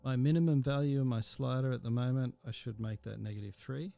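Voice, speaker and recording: Man, teacher, screencast tutorial, informal step-by-step explaining, gravelly low-key mellow tone. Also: fairly even intonation, a little fast, no echo.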